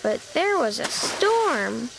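A woman's voice: a little high, sing-song, slow pace, vocal fry, background noise, exaggerated voice. A woman voicing a sweet, eager little animal child who tells a storybook tale in a simple, earnest, childlike manner. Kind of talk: read-aloud children's storybook narration.

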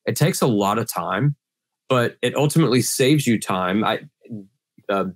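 A man's voice: mid-range voice, lively intonation, moderate pace, no room sound. A middle-aged man with a slightly scratchy voice, answering earnestly in a video-call podcast interview with many restarts. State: scratchy voice from pollen. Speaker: guest sales trainer.

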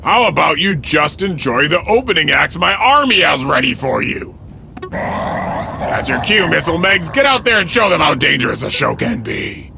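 An actor playing a huge, boastful turtle-monster villain in a video game, gravelly, grandiose and gloating. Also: male voice, on the high side, sing-song, moderate pace, a little hiss or hum, full character voice. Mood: taunting showman. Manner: gloating, grandiose, mock-generous showmanship. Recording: loud game music under the voice.

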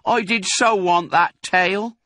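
A man's voice: high-pitched, slow, pushed and bright, no echo, voice pushed up, exaggerated voice. A man doing a big, dim farm woman. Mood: plodding. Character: big, slow-witted young farm woman servant.

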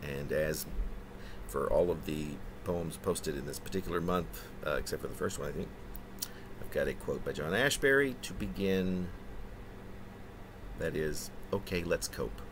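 A man in his fifties performs a poem in a low-pitched voice. His delivery is theatrical and animated, broken into halting phrases with chatty asides. It is recorded on a webcam indoors, with a little background noise. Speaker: poet reading his own poem. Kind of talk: dramatic poetry reading with conversational asides. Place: small room indoors.

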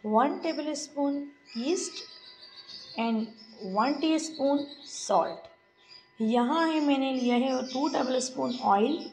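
A woman, hosting a home cooking tutorial and calmly giving the viewer step-by-step instructions. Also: high voice, slow pace, soft background music, low-quality recording. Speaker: cooking channel host. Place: home kitchen.

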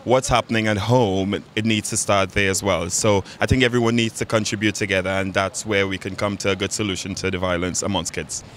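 A man's voice: lowish voice, fairly even intonation, fairly quick, few pauses, fairly bright tone. A young man from Trinidad and Tobago gives earnest, opinionated answers into a handheld mic on a busy city street. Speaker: interviewee. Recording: outdoor street noise behind.

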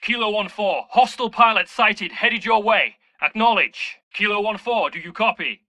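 A man's voice: high voice, moderate pace, bright, forceful tone. A man voicing a tense soldier making clipped, urgent military calls in a video game. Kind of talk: video game radio dialogue.

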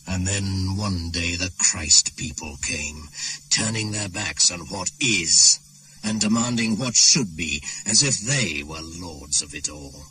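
A man's voice: deep voice, takes it slowly, bright, forceful tone, a little hiss or hum, full character voice, low-quality recording. An actor playing an ancient pagan shaman spirit, proud and brooding, in a solemn incantatory radio play monologue. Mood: brooding, resentful. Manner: incantatory, solemn, poetic delivery. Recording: 1980s radio drama with music behind.

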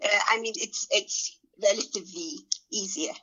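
A woman's voice: medium pitch, moderate pace, fairly bright tone, lo-fi sound. A middle-aged woman raised in Ethiopia, with Amharic as her first language, answers interview questions thoughtfully, hesitating and restarting often. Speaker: guest writer and translator. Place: indoors, small room.

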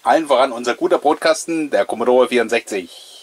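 Husky-voiced man, playful commentary. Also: medium pitch, quick pace. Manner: chatty, playful, nostalgic. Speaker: host of a collectors' video show. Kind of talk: casual, jokey commentary on an old mail-order catalogue.

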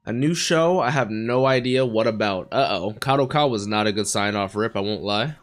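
Brief: young American-born male streamer, hoarse from illness, chatty and giddy, close boom mic in a small bedroom studio. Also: moderate pace. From United States. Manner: excitable, chatty, laughing often. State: raspy, sick voice. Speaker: anime reaction streamer. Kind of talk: casual anime reaction commentary. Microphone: studio mic on boom arm, headphones.

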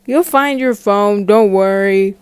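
A woman's voice: mid-range voice, slow pace, clean tone, no room sound, pitched below the performer's own voice, natural voice. A woman voicing a caring, nagging dad in a cartoon game roleplay, reassuring and mildly insistent. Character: caring, nagging dad of a high-school girl.

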